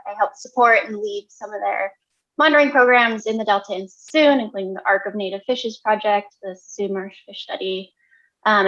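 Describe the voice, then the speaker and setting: A woman's voice: mid-range voice, fairly bright tone. A young woman introducing herself at a public meeting over a video call, talking hesitantly with many fillers. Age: young adult. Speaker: new science staff member. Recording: dull lo-fi call audio.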